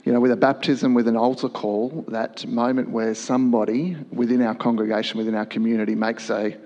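A man's voice: medium pitch, level tone, brisk, mellow, muffled recording. Thoughtful man worship leader. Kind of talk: panel answer. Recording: long hall reverb.

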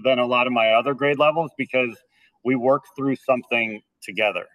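A man's voice: mid-range voice, fairly even intonation, fairly quick, distant mic, muffled recording. A man in his thirties who is a school band director, talking through his teaching in a podcast interview, with a slightly nasal voice and frequent repeated words as he starts his sentences.

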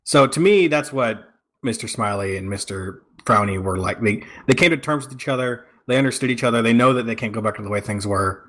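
A man's voice: medium pitch, a little fast, fairly bright tone. A man on a casual discussion panel, explaining reflectively and rephrasing himself as he goes. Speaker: podcast panelist.

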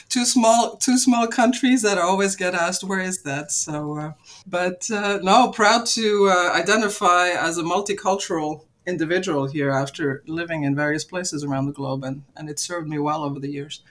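A woman's voice: on the low side, moderate pace. Chatty woman from Luxembourg, fifties. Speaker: podcast guest, aviation executive. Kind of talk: casual interview conversation.

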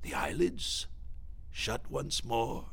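A senior bureaucrat in a satirical audio drama, polished, evasive and faintly sinister. His bright voice swings widely in pitch at a middling register. He is formal and wordy, hesitating delicately around grim matters. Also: sing-song, slow pace, vocal fry, slightly rough, full character voice. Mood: macabre relish. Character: evasive, genteel deputy minister, male, blandly menacing. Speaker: actor.

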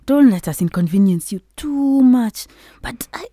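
High, fluttery pastor's wife. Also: female voice, lively intonation, a little slow, warm tone, no room sound. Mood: gushing politeness. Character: polite, fussing pastor's wife, a dramatic female guest. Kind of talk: acted audio fiction.

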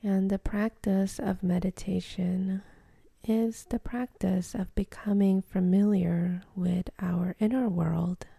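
Slow, very mellow woman guiding meditation. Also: mid-range voice, steady tone, vocal fry, slightly rough, no room sound. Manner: soothing, gentle, unhurried, with long pauses. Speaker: meditation guide. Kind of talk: guided meditation, soft spoken instruction.

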